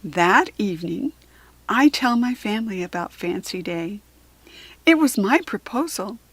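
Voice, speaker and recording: Slow, deliberate American woman storyteller. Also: mid-range voice, no echo. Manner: deliberate, expressive, clearly phrased for young learners. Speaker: children's book reader. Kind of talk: read-aloud storytelling for children.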